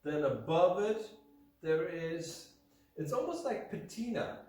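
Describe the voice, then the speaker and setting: A man from Germany presents a product off the cuff, in a halting, deliberate way that often circles back on itself. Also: a little high, lively intonation, a little mellow. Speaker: video host and Persian rug specialist. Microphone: camera mic from far away. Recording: very echoey room, distant microphone.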